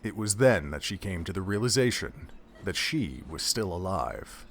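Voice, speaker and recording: Low-pitched male narrator of drama. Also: big pitch swings, moderate pace, fairly bright tone. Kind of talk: dramatic scripted audiobook-style narration.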